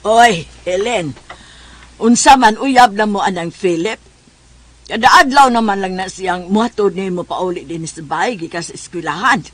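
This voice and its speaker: A woman's voice: medium pitch, moderate pace, faint music behind, close to an everyday voice. A woman with a Cebuano Filipino accent playing a nosy, teasing confidante in a radio drama. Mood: inquisitive.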